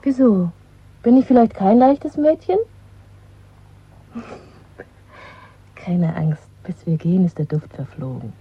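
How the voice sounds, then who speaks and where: A woman playing a bold, playful, worldly young lover in a German radio play, with a husky voice. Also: medium pitch, big pitch swings, slow, long pauses, heavily acted. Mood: teasing, defiant. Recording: thin phone-like old radio recording.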